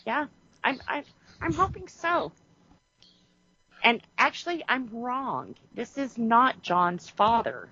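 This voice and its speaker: A woman's voice: fairly high pitch, very animated, slow pace, pauses often, pushed and bright, breathy edge, muffled recording. A woman co-hosting a podcast in casual chat, rambling and correcting herself as she goes, laughing often. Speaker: podcast co-host.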